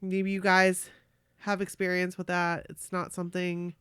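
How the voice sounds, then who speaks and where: A woman co-hosting a casual podcast, chatty and animated, who is losing her voice. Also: on the low side, unhurried, clear, resonant tone, no room sound, studio quality. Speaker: podcast co-host. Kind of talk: casual chat.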